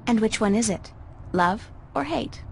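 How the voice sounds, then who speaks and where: An actress playing a casual, curious young art student in a video game, with a dry, sardonic manner. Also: female voice, a little high, lively intonation, unhurried, vocal fry, slight background noise. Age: young adult. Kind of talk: video game dialogue acting.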